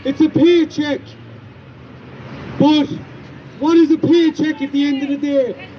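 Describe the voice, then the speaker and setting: An Irish man with a big, loud voice giving an emphatic outdoor protest speech, repeating his points with indignation. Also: extremely high pitch, flat delivery, measured pace, dull audio, noisy background. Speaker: rally speaker. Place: street rally outdoors.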